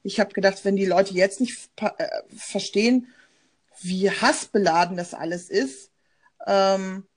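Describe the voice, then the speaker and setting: A woman's voice: mid-range voice, unhurried. A German woman, a journalist and podcast co-host, talking in a thoughtful, personal way with frequent fillers over a remote call. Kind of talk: casual conversational podcast.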